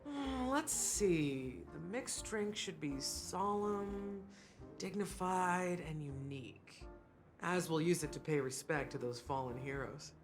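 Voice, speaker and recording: An actress playing a wistful, hospitable bartender in a video game, with a theatrical, sentimental delivery. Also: female voice, lowish voice, expressive, slow pace. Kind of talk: voiced video game dialogue. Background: background music.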